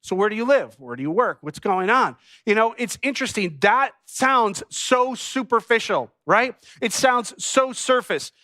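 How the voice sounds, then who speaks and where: A middle-aged man from New England delivers a sermon from a church stage, and his voice sits high and bright. He tells stories with animated energy and acts out snippets of conversation along the way. The sound is dry and close, with little room echo. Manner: animated storytelling with acted-out dialogue. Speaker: pastor.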